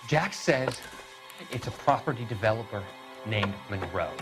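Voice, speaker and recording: An actor playing an earnest, troubled young medical student who talks with urgency in a video game cutscene. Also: male voice, on the low side, expressive, moderate pace, over music, natural voice. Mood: troubled, doubtful. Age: young adult.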